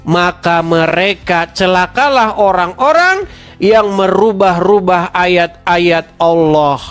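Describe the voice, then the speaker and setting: Mocking man debating, slow. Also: high voice, lively intonation, fairly bright tone, smooth, soft background music. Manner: mocking, challenging, laughs at opponent. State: slight head cold. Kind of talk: heated religious debate and commentary.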